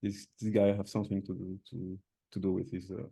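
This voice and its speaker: Soft-spoken low-voiced man, slight accent. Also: little pitch movement, a little fast, creaky, breathy edge. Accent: slight foreign accent. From Switzerland. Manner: hesitant, many restarts, soft-spoken, laughs shyly. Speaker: musician guest. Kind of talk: interview answers.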